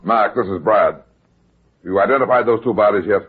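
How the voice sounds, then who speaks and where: An actor playing a tough, terse private detective in classic radio drama, clipped and blunt in manner. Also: male voice, fairly low pitch, a little fast, husky. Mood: brisk, determined. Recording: muffled, lo-fi vintage radio recording.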